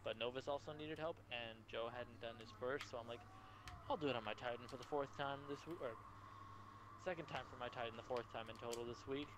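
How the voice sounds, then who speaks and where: Young dude in party chat, animated, noisy voice chat line. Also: male voice, mid-range voice, fairly quick. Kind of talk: casual gaming chat.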